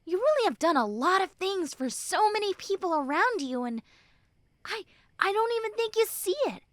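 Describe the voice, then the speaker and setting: A woman playing a normally mean young tsundere who turns tender and caring, speaking haltingly and earnestly to the listener in an intimate roleplay. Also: very high-pitched, sing-song, dry recording. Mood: earnest, bashful. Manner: halting, bashful, earnest, soft and breathy when consoling. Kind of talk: intimate roleplay audio drama, spoken to the listener.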